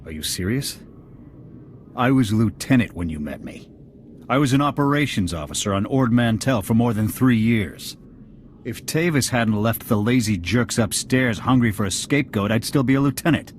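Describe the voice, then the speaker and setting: A man playing a gruff, combative, proud army sergeant in a video game, speaking bluntly. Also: medium pitch, normal speed, a little hiss or hum, close to an everyday voice. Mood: indignant. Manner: blunt, indignant, surly. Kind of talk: scripted video game acting.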